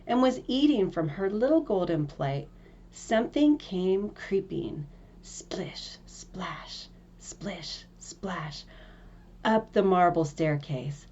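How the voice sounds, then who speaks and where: Slow, expressive woman storyteller. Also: on the low side, dull audio. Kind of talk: scripted fairy-tale narration.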